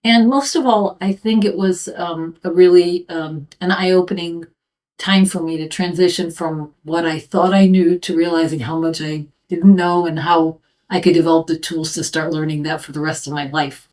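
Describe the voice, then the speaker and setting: Woman, fifties, professor, low-ish voice, a little far from the mic, thoughtful with ums. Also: steady tone, moderate pace, a little mellow. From United States. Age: 50s. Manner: thoughtful, frequent ums and restarts. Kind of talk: answering interview questions.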